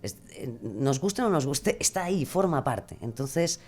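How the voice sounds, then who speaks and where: Mujer española de voz muy grave. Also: female voice, very deep voice, sing-song, normal speed, darkish tone, soft background music. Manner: rambling, hesitant, thinking aloud. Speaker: podcast guest, true crime podcaster. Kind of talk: casual, animated discussion.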